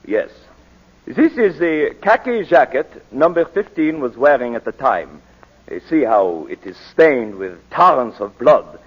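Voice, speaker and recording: An actor playing a grave middle-aged country doctor, formal and deliberate in an old radio drama. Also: male voice, medium pitch, big pitch swings, slightly rough. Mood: grave, emphatic. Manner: deliberate, formal, theatrical phrasing with dramatic pauses. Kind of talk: 1940s radio drama acting. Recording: dull 1940s radio broadcast recording.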